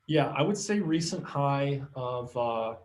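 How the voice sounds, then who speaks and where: A man's voice: medium pitch, lively intonation, measured pace. A man giving casual live chart commentary as an analyst, informal and trailing off at phrase ends. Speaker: market analyst.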